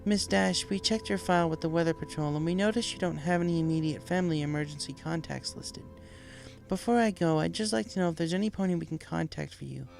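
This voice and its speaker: A man reads as a female pony nurse in a storybook. The voice sits higher, soft in manner and professional. It is sympathetic yet unbending, over faint music. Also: fast, gravelly. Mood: concerned. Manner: gentle, professional, apologetic. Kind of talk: storybook character voice.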